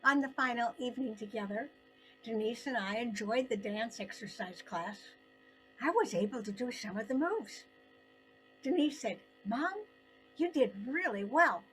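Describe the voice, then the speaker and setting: An older woman narrating her own personal story in an expressive, deliberate way with dramatic pauses. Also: fairly high pitch, soft background music, low-quality recording.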